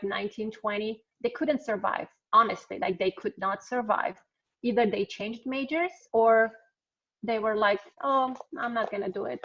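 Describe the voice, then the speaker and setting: Slow-talking Armenian-accented woman, gravelly. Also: mid-range voice. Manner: chatty, frequent ums, laughs often. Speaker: alumna speaker. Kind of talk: casual talk on a video call. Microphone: video call. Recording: slightly dull video-call audio.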